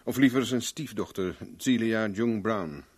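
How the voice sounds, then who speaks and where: An actor playing a smooth, affable private investigator with a casual, politely probing manner in a 1970s Dutch radio play. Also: male voice, fairly low pitch, measured pace.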